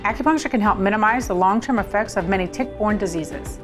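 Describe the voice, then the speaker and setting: A woman's voice: mid-range voice, fast. A woman reading a health commercial in an earnest, scripted delivery. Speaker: commercial announcer. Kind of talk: scripted ad read. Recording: music bed under voice.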